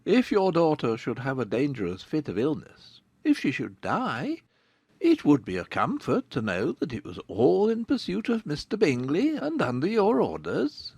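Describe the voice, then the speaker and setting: A sardonic middle-aged country gentleman , acted out by a woman in a dramatized audiobook. He teases slowly and deadpan, with a lively swing in his pitch. The sound is close and dry. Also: breathy edge, dry recording, full character voice. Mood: dry, teasing. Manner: slow, deadpan teasing.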